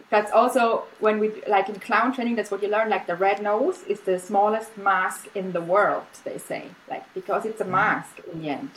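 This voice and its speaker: A woman's voice: mid-range voice, a little slow, slight background noise, low-quality recording. A middle-aged woman from Austria, a native German speaker, chatting casually as an interview guest on a video call, laughing often and restarting her sentences. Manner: relaxed, laughs often, many fillers and restarts. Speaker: guest artist.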